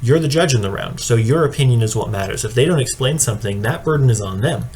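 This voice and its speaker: A man's voice: mid-range voice, expressive, fast, darkish tone. Man explaining guidelines, noisy recording. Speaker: speech and debate coach training judges. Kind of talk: instructional explainer presentation. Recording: clearly audible background noise.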